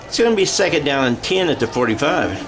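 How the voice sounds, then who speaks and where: A man's voice: medium pitch, big pitch swings, quick pace, background noise. A middle-aged American man calling a football game in radio play-by-play style, with a folksy, self-correcting manner. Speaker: play-by-play sports announcer.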